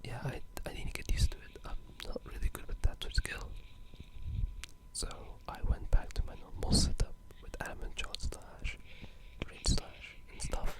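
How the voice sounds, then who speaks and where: A person speaking in a breathy, unvoiced whisper, casually discussing games in an ASMR style with a hushed, halting delivery. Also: rough recording. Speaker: ASMR gaming video creator.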